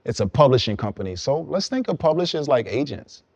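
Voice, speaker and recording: A young man from Brooklyn, a songwriter guest, explaining his path in relaxed, reflective casual conversation. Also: medium pitch, fairly quick, vocal fry, husky, dry recording. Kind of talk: casual podcast chat.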